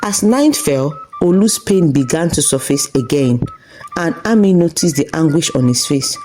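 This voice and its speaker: Low-voiced, mellow woman storyteller. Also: animated, moderate pace, slight background noise, poor audio. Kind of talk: scripted folktale narration.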